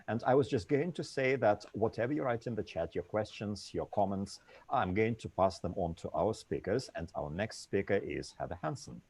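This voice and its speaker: A man's voice: lowish voice, a little mellow, breathy edge. A man moderating an online panel discussion over a video call, reading out lists of places with frequent "uh" fillers.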